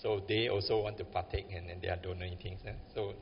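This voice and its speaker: A man's voice: low voice, flat delivery, fast, in a large hall, muffled recording, a little hiss or hum. A man announcing donations and thanking hosts at a meditation center.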